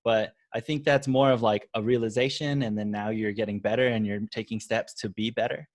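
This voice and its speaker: A man's voice: on the low side, fairly even intonation, fairly quick, dry recording. A man hosting a podcast interview, asking encouraging questions with many "you know" fillers. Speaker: podcast host.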